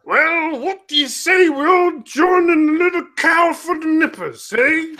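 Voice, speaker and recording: Shrill, boisterous matey caroler. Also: male voice, unhurried, pushed and bright, heavily acted. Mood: jolly, loud. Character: boisterous, matey male Christmas carol singer, rowdy. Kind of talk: live comic radio parody acting.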